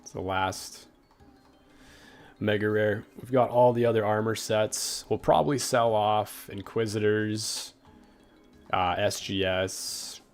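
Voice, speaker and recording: A young man with a low, gravelly voice talks loosely while streaming a game. His talk is relaxed and rambling, full of fillers as he answers chat. Faint game music runs underneath. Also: slow. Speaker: gaming livestreamer. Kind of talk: casual livestream chat.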